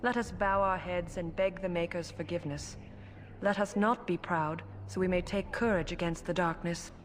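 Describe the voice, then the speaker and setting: A woman playing a solemn, pious priestess in a fantasy game, preaching gravely like an orator. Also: on the low side, fairly even intonation, normal speed, lightly acted. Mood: solemn exhortation.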